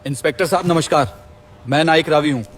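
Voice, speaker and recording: A man playing a principled, polite soldier with an earnest, respectful manner in a scripted animated moral story. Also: a little high, fast, over music, natural voice. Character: principled young male soldier, polite and steadfast.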